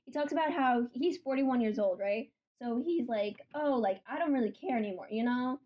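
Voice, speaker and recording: Playful teasing woman host, higher voice. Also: lively intonation, normal speed, dark tone. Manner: playful, teasing, laughs a lot. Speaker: podcast host. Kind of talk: casual chat.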